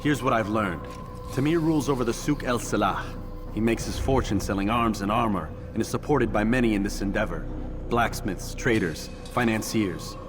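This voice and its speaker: Game role: haughty young male killer, played by a man, fairly low voice, clipped and determined, music underneath. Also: moderate pace, close to an everyday voice. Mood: cool, determined. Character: proud, curt young male assassin, coldly determined. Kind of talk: video game voice acting.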